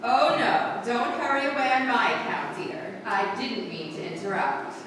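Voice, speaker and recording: A woman's voice: on the high side, lively intonation, unhurried, noisy background. Woman acting icy, sardonic wife in echoing hall. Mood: mocking. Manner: mocking, cutting, mannered politeness. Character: icy, sardonic jealous wife making threats. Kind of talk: 1940s radio mystery acting. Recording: echoey hall, far from mic, music.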